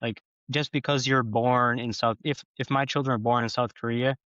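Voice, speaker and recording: A man's voice: mid-range voice, steady tone, normal speed, a bit bright, dry recording, highs cut off. A man from the United States, a podcast guest talking in a casual, opinionated way and often checking in with "right?". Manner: opinionated, frequent "right?" tags. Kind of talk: casual conversation.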